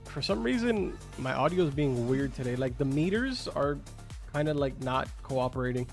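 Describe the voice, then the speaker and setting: A man's voice: medium pitch, animated, moderate pace, vocal fry. A young man whose first language is Spanish, chatting casually with his live-stream viewers, answering their questions with lots of filler words. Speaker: game streamer. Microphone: studio microphone. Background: faint game music.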